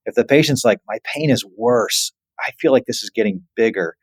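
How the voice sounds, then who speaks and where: A man's voice: medium pitch, fairly quick, breathy edge, no room sound. A man, a surgeon, explaining as an interview guest in an emphatic way with examples.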